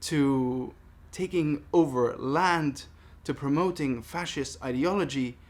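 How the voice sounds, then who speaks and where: Guy in his 30s from palestine, talking straight to camera, earnest and deliberate, makes his points firmly. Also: on the high side. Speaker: vlogger making a response video. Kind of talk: personal monologue addressed to camera.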